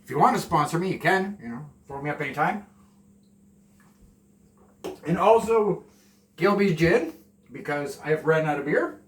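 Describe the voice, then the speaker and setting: A man's voice: on the high side, a little hiss or hum. A man from Canada in his forties chatting casually while cooking at home, rambling and self-deprecating, a bit nervous on camera. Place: small home kitchen, indoors. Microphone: camera a few steps away. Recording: roomy, distant camera mic.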